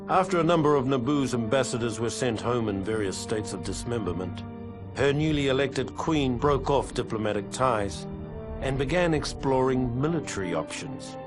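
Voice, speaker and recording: An actor playing a weathered veteran clone trooper, narrating his war memoirs for a video game in a measured, grave, wry soldierly manner. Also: medium pitch, expressive, lightly acted. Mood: proud, grim. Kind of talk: scripted in-character journal narration for a game. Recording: music behind the voice.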